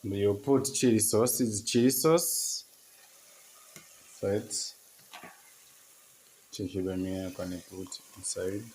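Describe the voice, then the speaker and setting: Male cooking vlogger, Tanzanian accent, non-native halting English, unhurried and lowish, with clear background noise. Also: fairly even intonation, mellow. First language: not English. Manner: halting simple English, repeats short phrases. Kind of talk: casual cooking demonstration to viewers. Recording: echoey, noisy with cooking sizzle.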